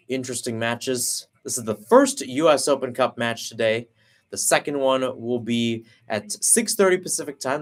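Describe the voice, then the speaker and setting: A young man delivering live soccer play-by-play at a brisk, steady clip. He tracks each pass in a running call and corrects himself on the fly. His voice sits at an ordinary middle pitch for a man. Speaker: play-by-play commentator.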